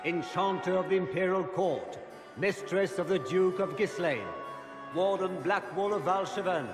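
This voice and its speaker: Fantasy game herald: high voice, ceremonious measured proclamation, big reverberant hall. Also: male voice, music in the background. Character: pompous court herald announcing noble guests. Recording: echoey great hall, long reverb.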